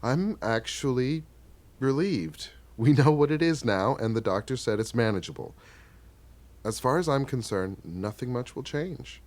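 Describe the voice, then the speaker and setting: A man acting a gentle, bookish middle-aged father in a stage play, explaining things patiently and tenderly, sometimes haltingly. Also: medium pitch, animated, lightly acted. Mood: tender, reassuring.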